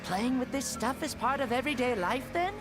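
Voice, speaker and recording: Lively, teasing youthful ancient hero. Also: female voice, sits high, a little fast. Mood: playful, curious. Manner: teasing, amused, wonder-struck. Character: playful, curious ancient hero returned in youthful body. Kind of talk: video game character acting. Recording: game music behind the voice.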